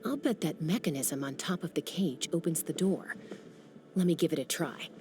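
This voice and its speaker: A woman playing a practical young female adventurer who speaks in a calm, matter-of-fact way. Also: sits low, normal speed. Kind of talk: video game dialogue.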